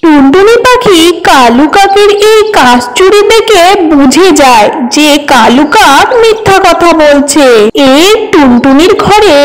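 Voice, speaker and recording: A woman's voice: sits high, poor audio. A woman storyteller reading a scripted children's tale as narrator.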